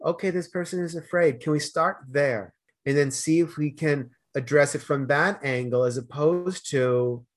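A middle-aged man from Boston with a Boston accent, a guest talking earnestly in a casual interview and growing loud when animated. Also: fairly high pitch, moderate pace.

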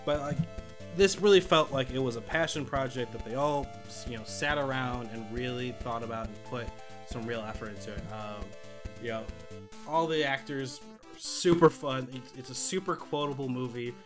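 A man's voice: medium pitch, moderate pace, music in the background. A man co-hosting a podcast, talking casually and enthusiastically about films with some swearing. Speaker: podcast co-host presenting a movie countdown. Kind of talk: casual film discussion.

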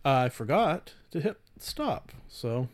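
A man hosting a solo podcast in a casual, rambling way, halting often, swearing lightly and putting himself down. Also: medium pitch, sing-song. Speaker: podcast host.

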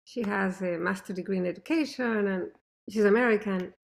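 A woman with a thick non-native accent and a gravelly, creaky voice, speaking in an interview. She tells family stories in an animated, emphatic way. Her pitch sits in a middle range for a woman. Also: brisk. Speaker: interview guest, mother. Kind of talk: conversational interview.

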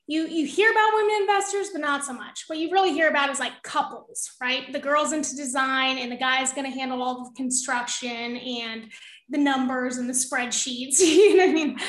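A young woman with a high-pitched voice, a podcast guest talking casually and encouragingly, laughing often. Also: fairly bright tone, reverberant. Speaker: podcast guest, real estate investor. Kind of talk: casual interview conversation.

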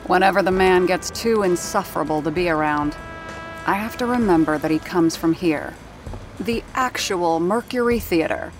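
A woman's voice: lowish voice, normal speed, over music, natural voice. A woman playing a wry, seasoned, no-nonsense radio sound-effects worker in an audio drama, talking in conversational asides. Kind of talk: 1930s-set audio drama narration and dialogue.

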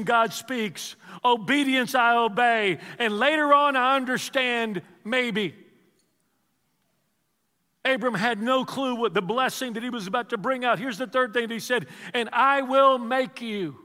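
Bright, high-voiced preacher in a ringing hall. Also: male voice, a little slow. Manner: lively storytelling, engages the congregation with questions. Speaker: pastor. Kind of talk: sermon.

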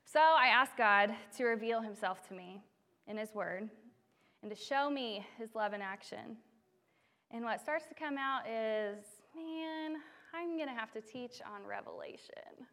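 A young woman preaching from a church stage, telling stories in an animated, self-deprecating way. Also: fairly high pitch, a little slow. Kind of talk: sermon. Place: church sanctuary.

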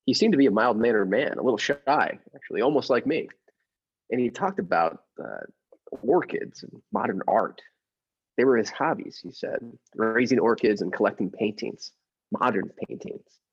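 A man playing a mild henpecked husband plotting murder in a radio play, measured in narration and hesitant in conversation. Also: fairly low pitch, expressive, husky, lo-fi sound. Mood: brooding, confiding.

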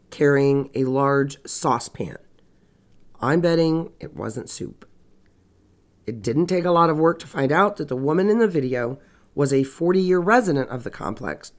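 A woman hosting a true crime podcast with a stuffy nose and a scratchy voice, narrating casually with wry, sarcastic asides. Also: low voice. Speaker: podcast host.

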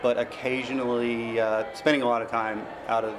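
A man's voice: medium pitch, level tone, normal speed, background noise. A middle-aged American man from Tennessee, a novelist in a casual interview, telling anecdotes in a self-deprecating way. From Memphis, Tennessee, United States.

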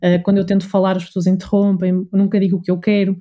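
A woman's voice: mid-range voice, flat delivery, fast, mellow. A woman with a European Portuguese accent giving conversational explanations as an interview guest, articulate and often listing her points. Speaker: voice and communication coach, interview guest.

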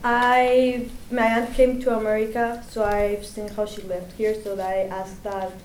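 A woman's voice: mid-range voice, flat delivery, a little slow, a little mellow. Teen girl from spain, native spanish speaker, chatting in an interview, roomy sound with slight noise. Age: teenage. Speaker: exchange student guest. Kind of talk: casual interview answers. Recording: echoey room, faint noise.

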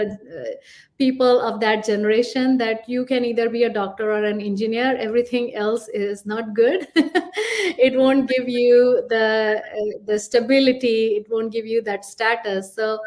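Indian woman, higher voice, thoughtful. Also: steady tone, measured pace. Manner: thoughtful, many fillers. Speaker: guest, career coach. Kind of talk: podcast interview answers.

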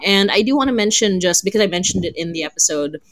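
A woman's voice: mid-range voice, lively intonation, fast. A woman co-hosting a live podcast in a casual, chatty way. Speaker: podcast co-host.

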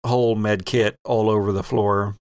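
A man's voice: lowish voice, fairly even intonation, moderate pace, a little mellow, smooth, no echo, clean studio sound. A man acting as game master of a tabletop RPG, narrating and ruling in a playful, teasing way.

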